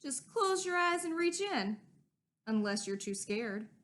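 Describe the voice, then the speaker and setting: A woman's voice: sits high, very animated, unhurried, a bit bright, exaggerated voice. A woman reading as a brave young boy. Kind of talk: storybook read-aloud.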